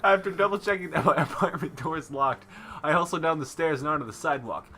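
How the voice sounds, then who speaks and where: Bright-voiced young man playing and narrating a game. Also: fairly high pitch, quick pace, a little hiss or hum. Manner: joking, bantering. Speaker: let's play host. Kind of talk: casual gaming commentary, reading game text aloud. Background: background music.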